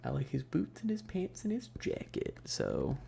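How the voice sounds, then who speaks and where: A man's voice: on the high side, huge pitch swings, normal speed, vocal fry, dark tone. A man chatting casually as a livestream host, bantering with viewers in a slangy, joking way. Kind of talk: casual livestream chat, ASMR streamer.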